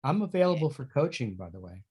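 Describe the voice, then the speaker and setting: A man's voice: medium pitch, sing-song, fast talker, a little mellow, slightly rough. A man speaking casually in a panel conversation, as an experienced practitioner and coach.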